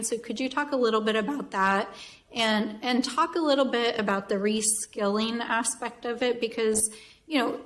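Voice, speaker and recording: Woman at a panel table asking an earnest question. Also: a little high. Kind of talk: roundtable discussion.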